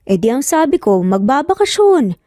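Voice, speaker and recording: A woman's voice: high-pitched, big pitch swings, moderate pace, dark tone, dry recording. A woman voicing a mischievous, easygoing young man with a playful, joking manner. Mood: amused. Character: mischievous, easygoing male cousin, young adult.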